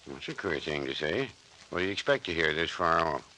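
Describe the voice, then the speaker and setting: Folksy bossy old country doc, low voice, very sharp sound, hissy old radio show. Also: male voice, big pitch swings, fairly quick, exaggerated voice. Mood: puzzled. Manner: drawling rural turns of phrase. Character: folksy older male small-town doctor, bossy and reassuring. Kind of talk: old-time radio drama acting. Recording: noisy vintage radio recording.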